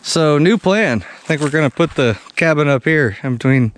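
A man's voice: on the high side, lively intonation, moderate pace. A man in his thirties casually narrating a vlog outdoors in a forest, talking in a laid-back, folksy, rambling way into a handheld camera. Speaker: vlogger. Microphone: handheld action camera.

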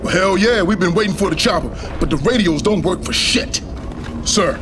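A man's voice: high-pitched, expressive, normal speed, over music. A man playing a big, boisterous, cocky soldier in a video game, exuberant and slangy. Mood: frank, eager. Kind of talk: video game cutscene acting.

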